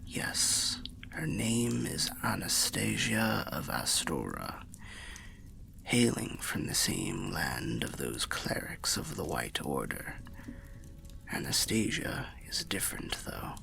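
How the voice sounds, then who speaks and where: A man voicing a weary old undead wanderer telling fantasy tales in ASMR roleplay, half whispered and gravelly, with a measured, wry, old-fashioned way of speaking. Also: huge pitch swings, pushed and bright, full character voice. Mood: somber, hushed. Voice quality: breathy whispering, gravelly low voice. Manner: measured, archaic, wry storyteller.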